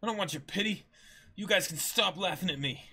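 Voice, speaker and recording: Young male pirate captain, played by a man: cowardly braggart, raised pitch, husky edge, manga reading. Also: a little fast, sharp tone, full character voice. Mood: defensive, scared. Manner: blustering, defensive.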